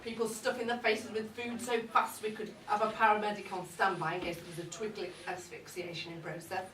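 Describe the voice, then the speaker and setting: A woman's voice: mid-range voice, level tone, a little fast, a bit bright, natural voice. A woman playing a cheeky, boozy older mother-in-law, gossiping in a wry, bawdy, confiding comic monologue. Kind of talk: comic dramatic monologue read to a live audience. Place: indoor live event at a pub. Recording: roomy, distant live recording with audience noise. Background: audience crowd.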